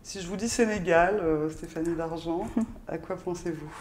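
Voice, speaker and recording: A woman interviewer with a low, mellow voice. Her questions come out halting and full of fillers, with faint noise behind her. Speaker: interview host.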